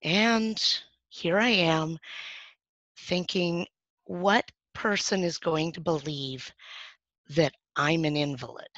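A woman acting as a frightened young girl in a first-person dramatic monologue, telling it in a halting, hesitant way with many restarts. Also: low voice, fairly bright tone, no echo. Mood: uneasy. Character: frightened young girl hiding in her bed. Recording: dull, dry lo-fi recording.

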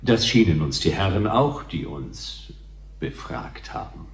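An actor playing a calm, dryly ironic alien visitor with a measured, deliberate delivery in a radio play. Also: male voice, low-pitched, vocal fry, heavily acted. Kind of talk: German radio play acting. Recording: echoey, faint background noise.

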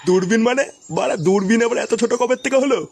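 High-pitched cranky old grandpa. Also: male voice, quick pace, slight background noise. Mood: irritated scolding. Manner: scolding, grumbling. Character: cranky, foul-mouthed old village grandfather in a cartoon. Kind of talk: exaggerated comic cartoon dialogue.

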